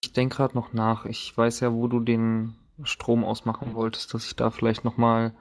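Medium-pitched man, slangy and casual. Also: completely flat delivery, moderate pace. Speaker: game master of a tabletop role-playing game. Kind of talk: casual game-table talk.

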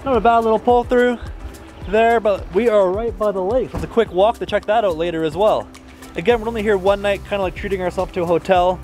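A man's voice: high voice, fairly quick, darkish tone, faint music behind. A young man talking casually to a camera as a travel vlogger. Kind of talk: casual vlog chat to camera. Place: inside a truck and outdoors at a campground. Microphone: camera held at arm's length.

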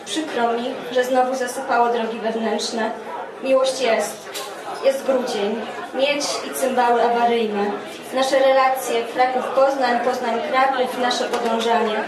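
A young woman reads poetry aloud in measured phrases. Her voice is high, and it carries from a distance through an echoing room with an audience present. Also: steady tone, crowd noise. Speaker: poet reading own work at a festival. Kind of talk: literary poetry reading. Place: dark stage at a live poetry event, indoors. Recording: roomy live stage sound, distant, audience around.

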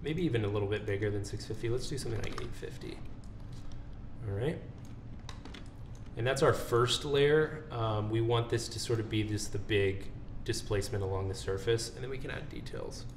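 A man presenting a casual software tutorial, informal and thinking aloud as he works. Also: lowish voice, normal speed. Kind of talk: casual screen-recorded software walkthrough. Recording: roomy, noisy voice-over with keyboard typing.

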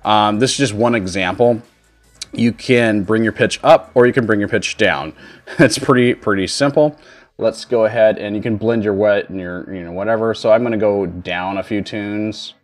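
A man in his thirties with a fairly low voice hosts a casual streaming tutorial. He explains software steps informally, rambling a little as he goes. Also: moderate pace, soft background music. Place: indoor home streaming setup.

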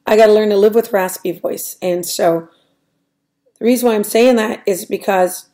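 A middle-aged woman with a raspy voice, talking through her weight-loss diary on a webcam at home, hesitant and full of ums. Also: mid-range voice, normal speed, dark tone. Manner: rambling, hesitant, many ums and restarts. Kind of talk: casual diary vlog. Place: small room at home, indoors. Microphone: webcam at desk.

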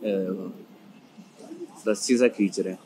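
A man's voice: low voice, fairly even intonation, slow, noisy background. A young man vlogging casually at a cricket stadium, chatty and laughing often, filmed on a handheld phone. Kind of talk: casual vlog chat.

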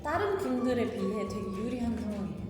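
A woman's voice: on the high side, animated, quick pace, over music. A woman in her twenties coaching a singing lesson, upbeat and encouraging. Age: 20s. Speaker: vocal coach. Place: small echoey practice room. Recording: echoey room from a distance.

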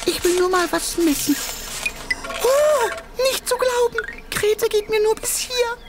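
A woman's voice: extremely high pitch, unhurried. A woman voicing a cheeky, boastful little boy king in a children's cartoon, theatrical and naive, full of exclamations. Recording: music behind the voice.

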